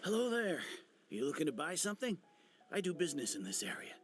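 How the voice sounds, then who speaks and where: A man's voice: a little high, very animated, quick pace. A man voicing a casual village merchant in a fantasy video game. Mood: friendly. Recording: faint music behind the voice.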